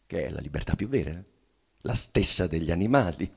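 An actor playing a dead bourgeois man bitterly confessing in a theatrical monologue, with halting, weighty phrasing and sudden swells. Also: low-pitched, expressive, gravelly, husky, exaggerated voice. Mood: scornful, emphatic. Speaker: stage actor giving a dramatic reading. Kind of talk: theatrical monologue reading of a literary play.

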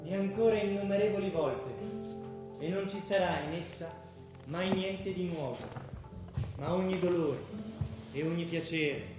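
A man acting as a solemn, measured, fateful narrator, reciting theatrically in a radio drama. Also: high-pitched. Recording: heavily echoing, loud plucked guitar music.

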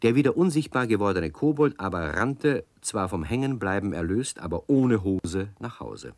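Sex: man. Role: narrator. Style: scripted storybook narration. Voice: very low. Sound: dry, close. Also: very animated, normal speed, dry recording.

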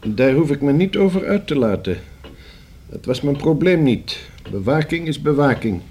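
A man's voice: on the high side, sing-song, normal speed, a little mellow. An actor in a radio play as a guarded male notary, speaking in stiffly formal, precise and evasive phrases. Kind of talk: radio drama acting. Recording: hissy old radio play recording.

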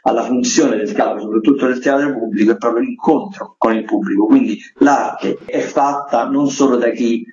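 A man's voice: medium pitch, normal speed, few pauses, a little mellow. A man giving wordy, articulate spoken commentary as a theatre critic on the radio. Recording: roomy echo, slightly dull sound.

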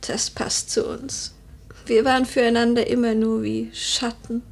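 A woman playing a wistful, proud noble widow in a German literary radio play, measured and poetic with hushed, breathy phrases. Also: a little high, little pitch movement. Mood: melancholy, guarded.

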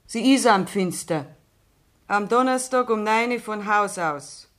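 An actress playing a calm, firm Bavarian farm widow with a Bavarian accent in a radio play. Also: female voice, mid-range voice, unhurried. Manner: calm, firm, plain-spoken. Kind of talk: 1960s radio play acting.

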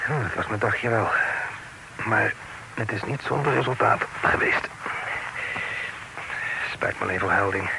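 An actor playing a formal, reasonable gentleman merchant in an old radio play, speaking in a measured way. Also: fairly low pitch, creaky, background noise. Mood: weary. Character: tired, formal gentleman merchant negotiating firmly but reasonably.

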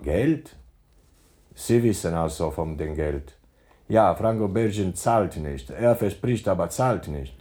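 A man's voice: sits low, expressive, takes it slowly, dark tone. An actor playing a guarded businessman sick with a cold, in clipped broken phrasing. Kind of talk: radio crime drama acting.

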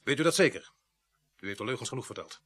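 A man's voice: mid-range voice, expressive, fast, fairly bright tone. An actor playing a dry, probing male police sergeant in a radio play. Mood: stern, pressing.

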